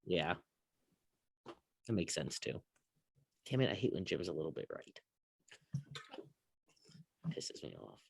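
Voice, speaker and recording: A man's voice: extremely low pitch, huge pitch swings, slow, vocal fry, long pauses, a little hiss or hum. A man co-hosting casual sports talk, giving blunt opinions in an informal, rambling way. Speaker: sports show co-host.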